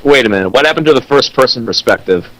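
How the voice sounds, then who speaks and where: A man riffing on a fan fiction with a co-host, tossing in dry, quick wisecracks. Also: mid-range voice, animated, fairly bright tone, no echo, low-quality recording. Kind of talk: comedic commentary.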